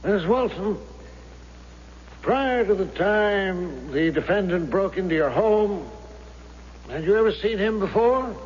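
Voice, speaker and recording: A courteous lawyer in a radio play, high-pitched and deliberate. His formal questions ring in a long echoing hall on a dull old recording. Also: animated, a little mellow, slight background noise. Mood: formal, measured. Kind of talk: radio drama acting.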